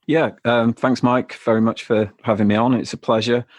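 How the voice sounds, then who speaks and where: A man speaking as a podcast guest, recounting personal experience in a reflective, halting way. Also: medium pitch, flat delivery, no echo. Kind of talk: personal storytelling in interview.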